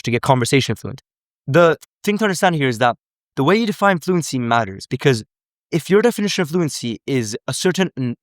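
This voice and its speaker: A young man from Sweden talks through his point in casual live commentary, stopping often to correct himself. His voice is smooth and clear at a middling pitch. The sound is dry and close, with no room echo. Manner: earnest, explanatory, full of self-corrections. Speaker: language coach.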